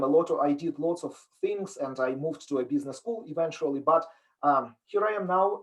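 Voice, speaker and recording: A middle-aged man with a Ukrainian accent lectures on business in an earnest, explanatory way. His voice sits fairly high for a man. He often restarts his phrases as he works through a point. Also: poor audio. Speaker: business professor giving a talk. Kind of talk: online lecture presentation.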